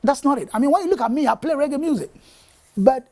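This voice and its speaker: A man's voice: very high voice, animated, fast, warm tone. An older man with a Ghanaian accent, a reggae musician being interviewed, switching between Twi and English as he talks. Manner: mixes Twi and English, sings snatches of his song. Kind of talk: casual interview talk.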